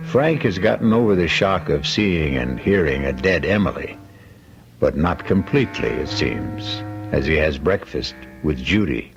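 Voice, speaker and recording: A man hosting a radio mystery, narrating in an ominous, measured, dramatic way. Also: a bass voice, animated, gravelly. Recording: muffled old radio recording, music underneath.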